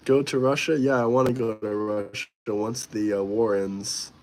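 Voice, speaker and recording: A man's voice: fairly low pitch, fairly even intonation, moderate pace, darkish tone, a little hiss or hum. A young man hosting a live stream, casually and bluntly answering viewer comments while a little under the weather. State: slightly sick. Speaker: livestream host.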